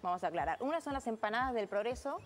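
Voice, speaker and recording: A woman's voice: on the high side, animated, very fast. A young woman with an Argentine Rioplatense accent giving a chatty, enthusiastic restaurant review in a casual TV conversation. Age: young adult. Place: TV studio, indoors.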